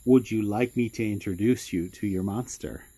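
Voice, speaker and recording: An actor playing an eerie, knowing young stranger in a horror radio drama, husky and insinuating. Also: male voice, on the low side, moderate pace, mellow. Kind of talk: audio horror drama acting.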